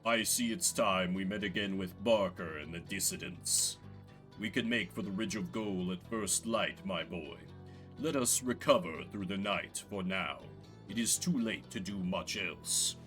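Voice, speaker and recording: Casting: male player doing elderly game mentor, low-pitched, formal, measured and grave, over music. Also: a bit bright. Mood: grave, calm. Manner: formal, measured storybook speech.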